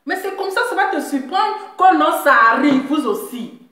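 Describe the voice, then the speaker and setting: A woman's voice: high voice, expressive, moderate pace, fairly bright tone. A woman with a Central African French accent ranting on a live stream, mocking and laughing, repeating her points. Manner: scolding, laughing, repeats phrases. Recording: very echoey room.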